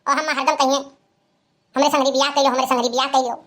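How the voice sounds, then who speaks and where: Woman doing feisty cartoon village girl, high voice, awadhi accent, naggy and sharp tongued. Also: steady tone, fast. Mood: complaining. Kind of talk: cartoon comedy dialogue.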